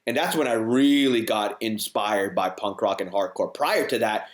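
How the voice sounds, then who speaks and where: A man's voice: lowish voice, normal speed. A man in his forties from Hong Kong, a band frontman chatting as a guest in a rambling way, full of "you know" and restarts. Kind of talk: casual interview chat. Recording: roomy echo.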